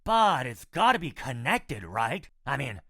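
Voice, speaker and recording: A man's voice: medium pitch, sing-song, unhurried, creaky, bright, forceful tone, no room sound, clean studio sound, full character voice. A man voicing a level-headed male robot dinosaur scout in a comic dub, talking in a casual, questioning way. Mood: puzzled. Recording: noisy hissy home recording.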